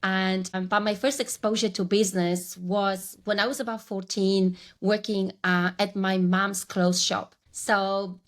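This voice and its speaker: A woman's voice: mid-range voice, flat delivery, a little slow, few pauses. A woman in her forties with a Polish accent, a guest on a podcast interview, telling her career story reflectively, with frequent restarts. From Poland. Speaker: guest, branding coach.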